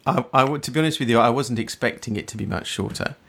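A man hosting a podcast interview, speaking in a friendly, measured way with some hesitant restarts. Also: medium pitch, vocal fry, a bit bright. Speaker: podcast host.

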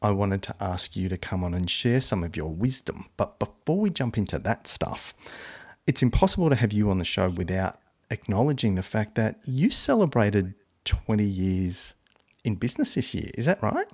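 A man's voice: lowish voice, sing-song, normal speed, a little mellow, breathy edge. A man hosting a podcast interview, friendly and encouraging. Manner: friendly, encouraging, many fillers. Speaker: podcast host.

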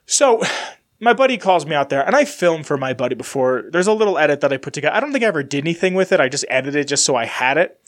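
A man's voice: a little high, very animated, quick pace. A man hosting a casual podcast, rambling in a loose, chatty way while stuffy from a sinus infection. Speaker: podcast host. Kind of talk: casual solo podcast chat.

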